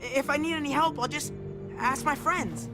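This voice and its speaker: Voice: high voice, moderate pace, over loud music, close to an everyday voice. A performer playing a stubborn, defensive teenage boy in a zombie apocalypse video game, hesitant when upset. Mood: defiant. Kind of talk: naturalistic dramatic video game voice acting.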